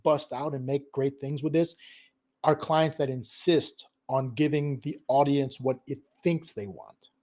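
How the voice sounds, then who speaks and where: A middle-aged man from a Filipino family, a digital marketing consultant, talking in an animated, emphatic way on a live business stream, tagging his points with "okay?" and "right?" and laughing often. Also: mid-range voice, a little slow.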